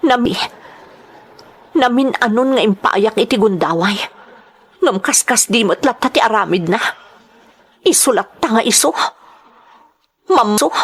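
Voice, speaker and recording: A woman's voice: mid-range voice, breathy edge. A woman acting an emotional, wronged wife and mother in a radio drama, with an Ilocano accent. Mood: bitter, aggrieved. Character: distressed wife and mother, wronged by her husband. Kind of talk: radio drama acting and first-person narration.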